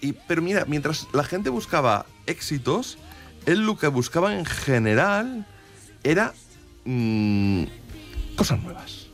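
A man with an accent from Spain in casual radio chat as a music commentator, telling stories with animated, emphatic repetition. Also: on the high side, slow pace.

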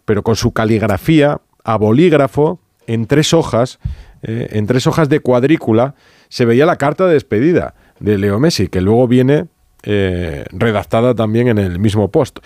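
A man's voice: medium pitch, expressive, moderate pace, a little mellow, no echo, clean studio sound. A man with an accent from Spain, hosting a conversational sports radio interview. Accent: Spanish from Spain. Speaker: radio host. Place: radio studio.

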